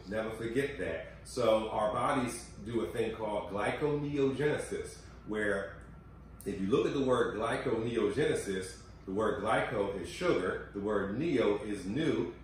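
A man's voice: fairly low pitch, a little slow. A man in his forties hosts a casual cooking show in a home kitchen, chatting and coaching viewers with health tips. His voice sits away from the microphone, in a room with heavy echo and a faint hiss of background noise. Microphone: far camera mic. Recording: echoey kitchen from far off.

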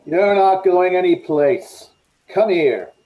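A man's voice: sits high, expressive, slow. Man acting curt radio-thriller killer, muffled sound. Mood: curt, menacing. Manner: curt, mocking. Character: menacing male killer, controlling, coolly taunting. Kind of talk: old-time radio suspense acting. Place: indoors, on a video call. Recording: dull video-call audio, faint noise.

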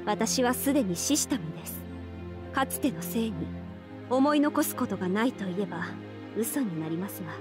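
A woman playing a devoted young half-oni samurai archer in a mobile game, speaking formal, reverent Japanese in a soft voice. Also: mid-range voice, animated, normal speed, lightly acted. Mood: wistful, grave. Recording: studio voice over game music.

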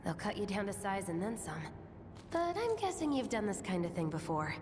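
Woman as a playful young rebel, lively pitch. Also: moderate pace, in a large hall, noisy background, natural voice. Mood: playful, confident. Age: young adult. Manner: playful, teasing, breezy. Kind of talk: dramatic video game voice acting.

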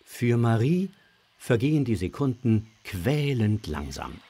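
A man narrating a children's adventure story from a script in a suspenseful, measured way. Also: medium pitch, sing-song, mellow, breathy edge.

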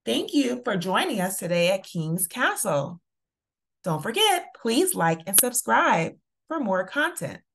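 A woman reading a children's picture book aloud in a deliberate, expressive storytelling manner. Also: medium pitch, creaky. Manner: slow, deliberate, expressive delivery for young children. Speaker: children's story reader and channel host.

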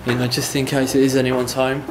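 A young man, vlogging casually as he explores an abandoned building, excitable and swearing freely. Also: medium pitch, brisk. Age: young adult. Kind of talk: casual vlog narration while exploring. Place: abandoned building, indoors and out at night. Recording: music playing loudly behind.

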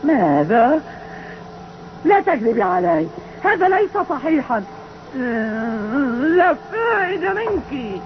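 Wicked, wildly swooping scheming countess. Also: female voice, high-pitched, sing-song, takes it slowly, pauses often, noisy background, full character voice. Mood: furious. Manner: coaxing, imperious, theatrical. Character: wicked scheming countess, disguised as old woman. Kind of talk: dubbed cartoon acting. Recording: dull lo-fi dub with loud music.

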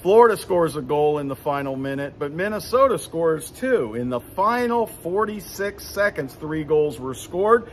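A man's voice: a little high, expressive, moderate pace, few pauses, a little hiss or hum. A man in his fifties, hosting a casual postgame recap in a hockey arena, rambling with many fillers and restarts. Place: indoor hockey arena beside the rink. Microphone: phone camera at arm's length.

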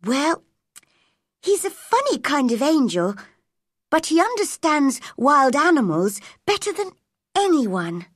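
A woman's voice: high-pitched, big pitch swings, slow, a bit bright, dry recording, studio quality, voice pushed up, full character voice. A woman playing a kind but firm, spirited young girl in a storybook, speaking earnestly and insistently. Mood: thoughtful. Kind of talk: storybook character reading.

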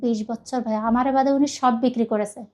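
A woman's voice: a little high, steady tone, brisk, crowd noise. A middle-aged woman with a Bangladeshi accent giving an emotional personal account, earnest and rambling, often repeating herself. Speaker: guest telling their life story.

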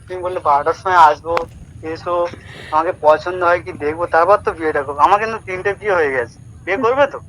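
A man's voice: high voice, racing, fairly bright tone. A man chatting casually on a patchy phone call with heavy noise. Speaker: prank call target. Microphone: phone line on speaker.

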